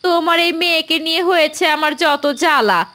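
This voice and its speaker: A woman voicing an irritable, sharp-tongued village mother in a cartoon fairy tale. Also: extremely high pitch, brisk. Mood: annoyed.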